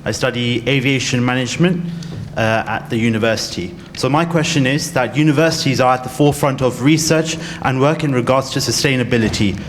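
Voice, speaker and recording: A man's voice: mid-range voice, a little fast. A male student reading a prepared question to a panel, plain and polite. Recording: echoey hall with faint hum.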